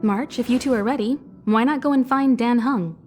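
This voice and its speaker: A woman's voice: on the high side, normal speed, darkish tone, faint music behind, close to an everyday voice. A woman playing a composed, caring crew leader in a video game, speaking articulately and reassuringly. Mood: calm, guiding. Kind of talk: game cutscene exposition.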